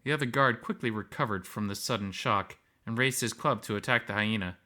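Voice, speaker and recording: A man's voice: on the low side, brisk, bright, forceful tone. A man reading scripted first-person story narration as the narrator.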